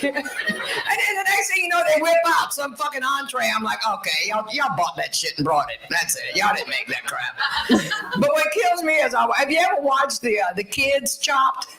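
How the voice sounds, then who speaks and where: A woman in her sixties doing profane stand-up comedy, brash and loud. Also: high-pitched, lively intonation, moderate pace, over a noisy crowd. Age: 60s. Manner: brash, foul-mouthed, loud punchlines. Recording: echoey distant clip with loud noise.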